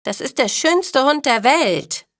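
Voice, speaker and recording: A woman voicing an affectionate, excitable young girl in a storybook, tender and exclaiming. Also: high-pitched, slow, no echo, clean studio sound, pitched above the performer's own voice, exaggerated voice. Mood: joyful, devoted.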